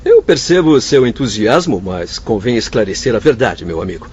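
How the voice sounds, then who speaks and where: An actor playing a wise, encouraging male spirit doctor in a radio drama, formal and gently instructive. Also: mid-range voice, big pitch swings, fairly quick, lightly acted. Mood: encouraging, earnest. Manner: formal, gently instructive, addresses listener as friend. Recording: muffled radio drama with background noise.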